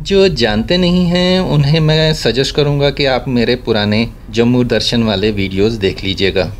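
A man narrates a drive through his city from behind the wheel, chatty and dryly amused at other drivers. His voice sits at an ordinary middle pitch. Faint road and engine noise hums under the talk inside the car. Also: normal speed. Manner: chatty, wry remarks about traffic manners. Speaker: vlogger giving a city driving tour. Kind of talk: casual running commentary while driving.

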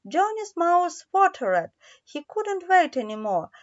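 A woman narrating a children's story in a careful, halting read-aloud way. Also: extremely high pitch, big pitch swings. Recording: dull lo-fi recording.

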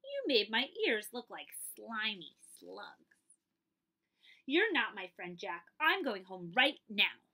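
A woman voicing an indignant but affectionate girl pig from a picture book, in emphatic, drawn-out, childlike declarations. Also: medium pitch, sing-song, pushed and bright, heavily acted. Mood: indignant, hurt.

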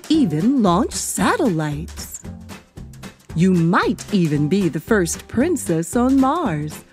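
A woman voicing a grand, kindly fairy godmother in a storybook, encouraging and measured. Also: lowish voice, darkish tone, voice pushed down, full character voice.

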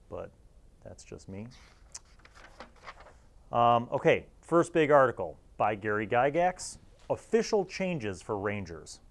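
A middle-aged man hosting a casual review to camera, talking in a rambling, reflective way. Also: mid-range voice. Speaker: video host and reviewer.

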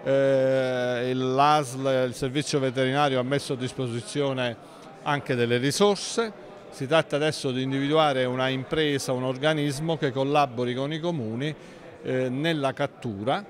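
A man gives formal interview answers as a mayor, in measured institutional phrasing. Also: medium pitch, fairly even intonation. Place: large indoor council hall. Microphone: handheld interview microphone.

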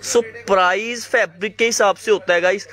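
High bright-voiced man selling clothes fast. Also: fairly even intonation. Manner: fast hyped patter, addresses viewers by name. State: sore throat, coughing. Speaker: live-sale clothing seller. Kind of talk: live sales pitch to viewers. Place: indoor shop floor, clothes laid on mat.

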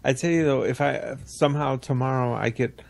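A man with a gravelly, creaky voice at a middling pitch. He speaks as a podcast panelist in loose, casual, sweary conversation. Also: brisk. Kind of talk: casual, profane chat.

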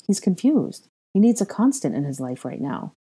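A woman reader plays a firm, protective young mother in audiobook dialogue. Her voice is low, soft-edged and husky. She speaks with earnest insistence and a ready temper. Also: big pitch swings, normal speed, heavily acted. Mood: firm, uneasy.

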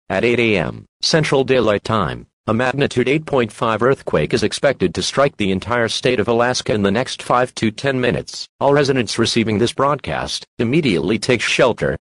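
Man, husky, formal synthetic delivery, dry sound, scripted emergency broadcast. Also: mid-range voice, no echo. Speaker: emergency alert announcer.

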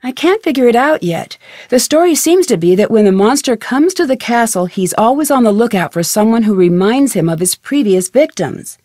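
Young woman sleuth for a video game, friendly n curious, highish voice, super dry studio sound. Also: lively intonation, moderate pace, dark tone, no room sound. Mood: thoughtful, curious. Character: friendly, curious, plucky young female amateur detective. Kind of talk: video game voice acting, conversational.

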